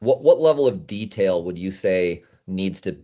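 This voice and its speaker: A man's voice: low-pitched, animated, moderate pace, warm tone. A man hosting a casual discussion in a conversational style. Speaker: podcast host. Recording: dull, lo-fi audio.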